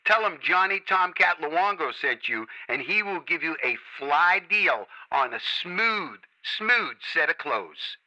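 A man hosting a radio show with a strikingly bright, cutting tone and a slightly high voice. He rolls out smooth, salesy DJ patter, pitching deals to his listeners. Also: expressive, measured pace, few pauses, low-quality recording. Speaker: radio host. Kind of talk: hyped radio show chat.

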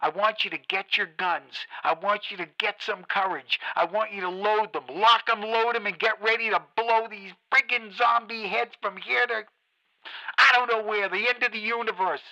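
A frantic male radio DJ rants and pleads to his listeners in a high voice. The tone is sharp and very bright. It sounds like a radio drama. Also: steady tone, normal speed, dull audio, full character voice. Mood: urgent. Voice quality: ranting, pleading. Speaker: radio host.

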